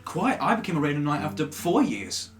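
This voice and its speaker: A man's voice: on the high side, sing-song, fairly quick. A man voicing a friendly, blunt young male knight of twenty who reacts with disbelief. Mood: incredulous. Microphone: desk USB microphone. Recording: roomy with background music.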